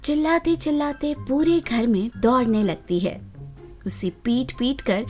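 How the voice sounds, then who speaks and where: A woman's voice: high-pitched, measured pace, a little mellow. A woman storyteller reading a scripted children's fairy tale narration. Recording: prominent music behind the voice. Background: background music.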